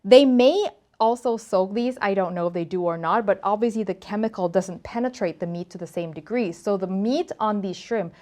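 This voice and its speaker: A woman's voice: medium pitch, moderate pace, hardly any pauses, warm tone. A woman from Thailand hosting a casual cooking tutorial, talking to camera in a chatty, playful, emphatic way. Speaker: cooking show host.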